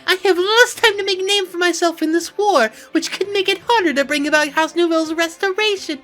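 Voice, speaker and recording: A woman's voice: very high voice, fairly quick, fairly bright tone, soft background music. A woman playing a proud, boastful young noblewoman in a fantasy game, speaking in a haughty, formal, theatrical way. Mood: haughty, urgent. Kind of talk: dramatic fantasy game acting.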